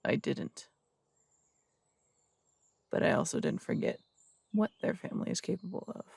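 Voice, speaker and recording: A blunt, suspicious city detective in an audio drama, acted by a man, his voice deeply gravelly and sitting a little high. He needles with curt questions, and the sound is close and dry. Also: expressive, moderate pace, darkish tone, dry recording, exaggerated voice. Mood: accusing. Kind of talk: audio roleplay drama acting.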